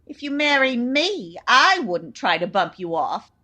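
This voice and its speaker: An actress playing a lovestruck, dramatic sweetheart in an old-time radio comedy, all swooning declarations. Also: female voice, a little high, very animated, a little slow, bright, forceful tone, exaggerated voice. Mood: scornful pleading.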